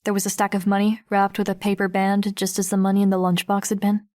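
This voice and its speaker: A woman playing a guarded, observant teenage girl who narrates an audiobook in the first person, reflective and measured. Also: medium pitch, completely flat delivery, darkish tone, close to an everyday voice. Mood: wary. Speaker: narrator and lead character.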